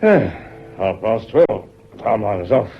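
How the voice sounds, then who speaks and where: Polite, probing inspector, lively intonation. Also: male voice, fairly low pitch, slow pace, gravelly, lo-fi sound, faint music behind, heavily acted. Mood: brisk, polite. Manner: deferential, pointed, insinuating. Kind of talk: stage-style radio drama acting.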